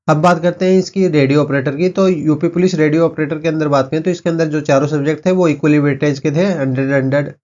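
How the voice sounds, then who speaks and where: A man runs through exam cutoffs and vacancies at a very fast clip, looping back on the same filler phrases. His voice sits a little high. The recording is dull and muffled. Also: a little mellow. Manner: rapid, repetitive filler-heavy delivery. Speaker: exam coaching presenter. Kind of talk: explainer lesson.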